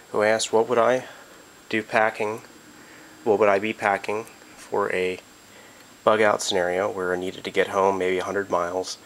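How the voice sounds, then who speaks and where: A man's voice: fairly low pitch, a little hiss or hum. A man getting over a cold, casually walking through his gear with lots of ums and uhs, recorded on a handheld camera in a carpeted room at home. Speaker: prepper vlogger.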